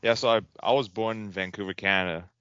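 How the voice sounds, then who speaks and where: A young man from Taiwan, a hockey goalie guest on a podcast, easygoing and quick to laugh. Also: on the low side, fairly even intonation, fairly bright tone, smooth, dry recording, dull audio. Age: young adult. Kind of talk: podcast interview.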